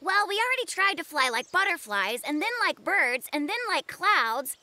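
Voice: very high-pitched, very animated, moderate pace, noisy background. A performer voicing a doubtful, earnest little boy polar bear in a children's cartoon. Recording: music behind the voice. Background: children's cartoon music.

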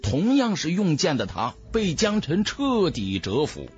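A man narrating an audiobook in a dramatic, theatrical, expressive storyteller's manner. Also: a little high, a little slow, muffled recording, soft background music.

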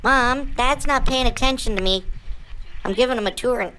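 A woman's voice: high-pitched, normal speed, pushed and bright, a little hiss or hum, poor audio. A woman voicing a cheeky, eager pet pug who rambles as it gives a tour to its owners, in an improvised pet voice-over. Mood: mildly exasperated. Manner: rambling, eager, addresses owners as Mom and Dad.

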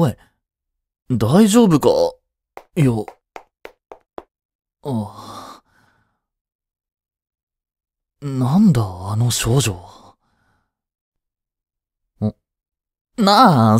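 A man acting a cheeky, laid-back high-school slacker in a romantic audio drama, with casual, teasing, blunt slang. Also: no room sound, clean studio sound. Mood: lazy, wheedling.

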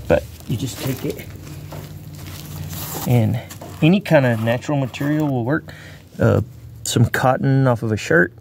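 Guy rambling along while he works outside, gravelly low-ish voice, bit of traffic hiss behind, phone mic up close. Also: measured pace, pauses often. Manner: rambling, folksy, talks while working with his hands. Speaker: bushcraft video maker. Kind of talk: casual outdoor demonstration. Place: outdoors on the ground near a road. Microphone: phone camera held close. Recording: phone recording outdoors with faint traffic noise. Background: faint road and outdoor noise.

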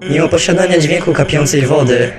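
A man voicing an excitable, friendly skeleton artist who tells a long tale expressively in an animated fan dub. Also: on the high side, a little fast, natural voice. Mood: earnest storytelling. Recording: background music under the voice.

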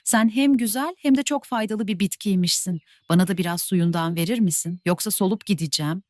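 A woman's voice: mid-range voice, a little fast, darkish tone, no room sound, studio quality, natural voice. A woman voicing a young female rose who is vain and proud at first. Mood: pleading.

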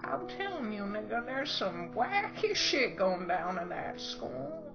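Amateur female dub performer: slangy, crude improv, unhurried, lo-fi sound with music. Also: mid-range voice, sing-song. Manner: foul-mouthed, slangy. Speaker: amateur fandub voice actor. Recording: dull lo-fi sound under loud music.

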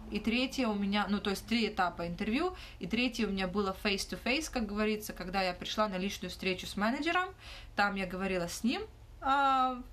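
A young woman hosting a livestream Q&A in a small room, talking casually into her phone and often pausing with fillers. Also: medium pitch, fairly bright tone. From Ukraine. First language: not Russian. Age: young adult. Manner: hesitant, frequent "uh" fillers and restarts. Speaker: livestream host answering questions. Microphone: phone held close, front camera.

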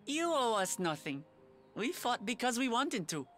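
An actress playing an earnest, friendly young warrior princess in a video game, open and plain-spoken. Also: female voice, on the high side, sing-song, measured pace, a bit bright, soft background music. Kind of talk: video game cutscene acting.

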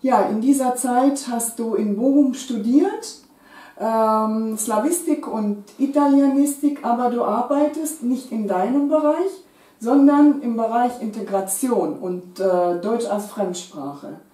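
Middle-aged interviewer, unhurried, mellow tone, echoey room. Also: female voice, a little high, distant mic. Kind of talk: interview questions. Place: living room, indoors. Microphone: far camera.

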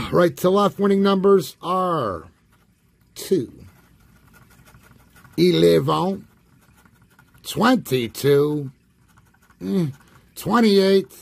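A man's voice: high voice, gravelly, no room sound. A man with a scratchy voice doing profane, wry commentary while scratching lottery tickets at a table indoors. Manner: profane, wry, reads numbers slowly with nicknames.

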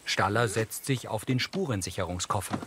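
A man reading scripted audio description in a measured, neutral way. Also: fairly low pitch, a bit bright, a little hiss or hum. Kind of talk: scripted narration. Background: background music.

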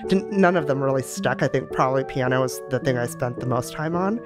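Middle-aged woman from romania being interviewed, thinks out loud, soft music in the back. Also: extremely low pitch, a little fast. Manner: reflective, many fillers and restarts. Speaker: guest, music company executive. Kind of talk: casual interview answers.

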